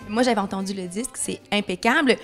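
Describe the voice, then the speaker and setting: A woman's voice: medium pitch, quick pace, over loud music. A woman, a reporter asking short, friendly interview questions with light teasing. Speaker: entertainment reporter.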